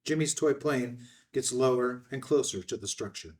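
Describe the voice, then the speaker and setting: Mid-pitched man reading stage directions. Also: normal speed, recorded from a distance. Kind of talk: scripted narration, screenplay table read.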